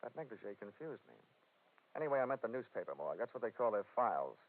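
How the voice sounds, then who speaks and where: An actor playing a wry, flirtatious, hard-boiled insurance investigator in 1940s radio drama style. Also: male voice, on the low side, sing-song, fast. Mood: wry, probing. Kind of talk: 1940s radio detective acting, first-person narration. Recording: scratchy, tinny 1940s radio recording.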